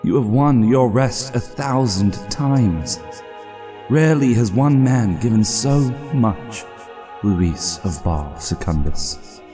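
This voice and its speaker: Man playing grave fatherly winged angel, sorrowful, gentle, low voice, unhurried, solemn, epic dramatic reading. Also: animated, music in the background. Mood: compassionate. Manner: solemn, slow, tender, grave. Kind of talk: dramatic audiobook-style character acting.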